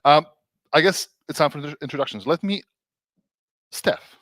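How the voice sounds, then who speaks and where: Animated man hosting a livestream. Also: on the high side, normal speed, no echo. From Serbia. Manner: animated, laughing, many restarts and fillers. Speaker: host, developer advocate.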